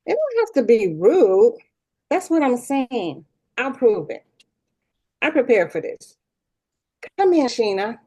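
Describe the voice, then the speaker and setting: A woman's voice: a little high, sing-song, slow, pauses often, warm tone, husky, heavily acted. A woman playing a self-important, petty school principal with a breezy, tactless manner in a sitcom table read. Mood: bossy. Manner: breezy, pompous, mock-reasonable.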